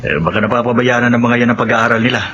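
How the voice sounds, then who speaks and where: A man's voice: medium pitch, racing, close to an everyday voice. A man acting as a tired, unfaithful middle-aged husband in a radio drama, curt and worn out. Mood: gentle. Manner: tired, curt, later gentle. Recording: muffled radio broadcast audio.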